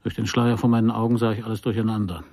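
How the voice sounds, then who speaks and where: An actor playing a wry private detective who narrates his case in a dry, deliberate, sardonic way for a radio crime play. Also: fairly low pitch, little pitch movement, warm tone, lightly acted. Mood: dry, tense. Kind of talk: scripted first-person noir radio play narration.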